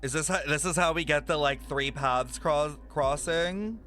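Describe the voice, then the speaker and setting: A man's voice: fairly high pitch, measured pace, sharp tone. A man streaming a game reaction, talking casually with plenty of swearing and filler words. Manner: casual, profane, lots of filler "like".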